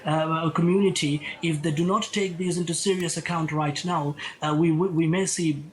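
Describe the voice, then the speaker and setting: A man's voice: on the high side, fairly even intonation, moderate pace, a little hiss or hum. A man from Bangladesh, a political commentator and lecturer, answering interview questions with frequent restarts and repeated words. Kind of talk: remote interview guest.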